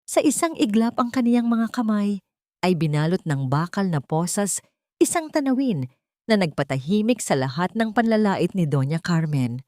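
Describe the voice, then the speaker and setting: A woman narrates a dramatic story in a solemn, measured way. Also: medium pitch, big pitch swings, warm tone, dry recording, studio quality.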